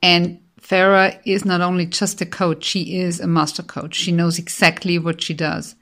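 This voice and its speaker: A woman's voice: fairly low pitch, flat delivery. Austrian-accented woman giving an earnest testimonial. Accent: Austrian German. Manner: earnest, warm praise with filler pauses. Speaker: life coach and counselor giving a testimonial. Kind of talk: enthusiastic client testimonial.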